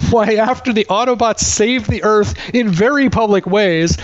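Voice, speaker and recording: A man's voice: sits high, muffled recording. A man giving a comic movie recap as a podcast reviewer, sardonic and emphatic in delivery.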